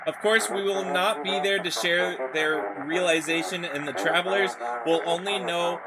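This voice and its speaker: A man voicing a solemn former diplomat and canal developer in formal, measured oratory, reading a horror story aloud. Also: sits high, very noisy, close to an everyday voice. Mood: solemn, grandiose.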